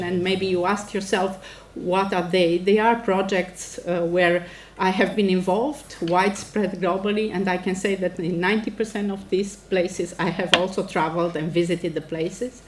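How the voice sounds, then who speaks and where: Romanian-accented woman lecturing, clear background noise. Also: medium pitch. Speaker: professor giving a lecture. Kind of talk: formal academic public address. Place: indoor lecture event before an audience.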